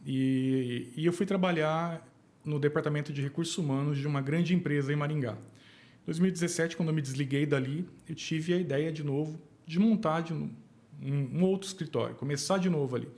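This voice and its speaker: A Brazilian man in his forties, an accountant talking as a podcast guest, calm and plain, hesitating and restarting now and then. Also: a little high, brisk. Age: 40s. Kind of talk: casual interview chat.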